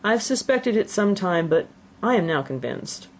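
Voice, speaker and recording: A woman voicing a dry, sardonic middle-aged gentleman in a classic novel, in a cool, measured manner. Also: mid-range voice, sing-song, a little hiss or hum, voice pushed up, lightly acted. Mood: dry, teasing. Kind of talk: audiobook character reading.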